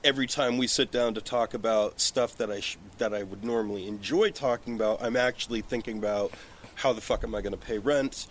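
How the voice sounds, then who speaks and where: A man's voice: fairly low pitch, a little fast, slight background noise. A man talking candidly and a little ramblingly as a podcast guest on a porch, with casual swearing. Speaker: guest, independent filmmaker and business owner. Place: outdoors on a porch. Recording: background ambience outdoors on a porch.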